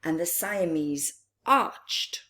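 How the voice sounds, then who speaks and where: A woman reading a picture book aloud to children, deliberate and expressive, with long pauses and small asides. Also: lowish voice. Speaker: children's storytime reader.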